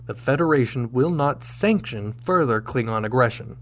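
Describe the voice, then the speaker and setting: An actor playing a firm, wryly sardonic starship captain in a sci-fi audio drama, speaking crisply. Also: male voice, mid-range voice, lively intonation, normal speed, lightly acted. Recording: clear background noise with hum.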